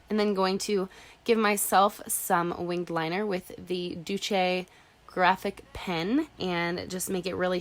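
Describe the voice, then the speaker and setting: Young woman, stuffy congested voice, slightly low pitch, chatty makeup tutorial narration. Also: fairly bright tone. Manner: chatty, casual, uses "like" a lot. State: congested from a cold. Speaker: beauty vlogger.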